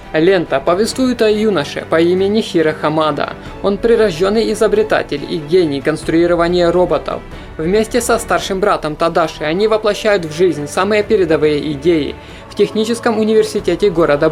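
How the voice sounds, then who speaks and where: A man reading a scripted voice-over about animated films in an even, informative manner. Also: high voice. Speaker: film review narrator. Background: soft background music.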